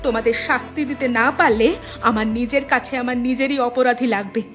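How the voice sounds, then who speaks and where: A woman's voice: fairly high pitch, steady tone, fairly quick, lightly acted. A woman voicing an indignant, righteous young daughter in a melodramatic cartoon. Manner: indignant, insistent. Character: defiant, righteous young daughter confronting her parents. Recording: music behind the voice.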